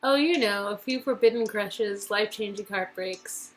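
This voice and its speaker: A woman voicing an eager, curious, teasing young woman in a romance game, perky and expressive. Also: medium pitch, normal speed, faint music behind, pitched below the performer's own voice, close to an everyday voice. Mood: playful. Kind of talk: visual-novel dialogue reading.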